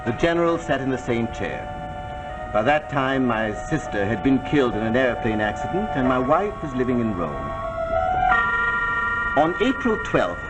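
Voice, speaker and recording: An actor in a 1940s television drama playing a courtly, melancholy aristocrat who speaks formally and hesitantly, with condescending politeness. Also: male voice, mid-range voice, natural voice, rough recording. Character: courtly, melancholy old-world aristocrat telling of past wrongs. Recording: old 1949 broadcast with music behind.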